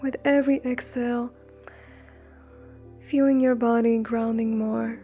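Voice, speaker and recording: Slow, soft-toned woman guiding meditation. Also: fairly high pitch, flat delivery. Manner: soothing, breathy, gentle with long pauses. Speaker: meditation guide. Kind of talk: guided meditation. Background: faint music.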